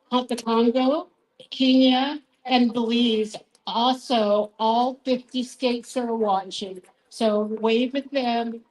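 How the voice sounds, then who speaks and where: Slow, higher-voiced woman addressing athletes. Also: darkish tone, rough recording.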